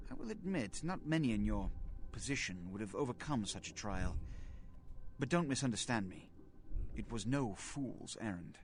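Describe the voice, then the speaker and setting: An actor playing a cold, formal vampire leader who speaks with precise, condescending diction. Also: male voice, fairly low pitch, slight background noise. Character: cold, imperious vampire prince, smooth businessman.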